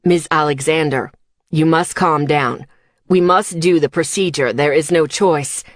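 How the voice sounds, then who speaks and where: A woman voicing a soothing but firm male hospital doctor in an audiobook, speaking in a measured, formal way. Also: deep voice, dry recording, studio quality, pitched below the performer's own voice. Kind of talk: audiobook character dialogue.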